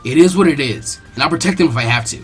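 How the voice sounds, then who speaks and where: A man's voice: a little high, expressive, fast, fairly bright tone. A man voicing a brash, stubborn, lonely boy ninja in a story reading, blunt and self-deprecating. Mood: bitter resignation. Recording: music behind the voice.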